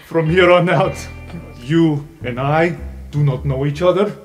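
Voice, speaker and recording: A man acts out a self-important, spurned young suitor for a tabletop roleplay show. The delivery is loud and blustering, pitched up high and full of pompous indignation, with music underneath. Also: measured pace, natural voice. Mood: wounded, threatening.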